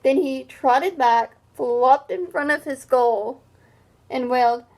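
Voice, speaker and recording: Female read-aloud teacher, high-pitched and unhurried, expressive narration with plain explanatory asides for children. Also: poor audio.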